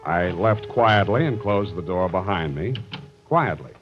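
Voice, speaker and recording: An actor playing a sardonic, wisecracking private detective in a 1940s-style radio drama, with narration and banter. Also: male voice, low-pitched, lively intonation, measured pace. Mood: sardonic narrating. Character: wry hard-boiled male private detective narrating his case. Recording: dull vintage radio recording with music.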